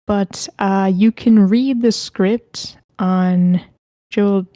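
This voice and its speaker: Woman, casual online table-read host, mellow tone, very dry close recording. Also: mid-range voice, fairly even intonation, drawn-out words, no echo. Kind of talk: casual livestream hosting. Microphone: close mic with a sock over it, online voice call.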